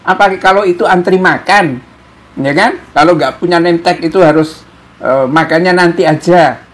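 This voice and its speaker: Higher-voiced man, mocking political commentator. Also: normal speed. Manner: mocking, with rhetorical questions and tag questions. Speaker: commentator hosting an opinion show. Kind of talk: informal political commentary to viewers.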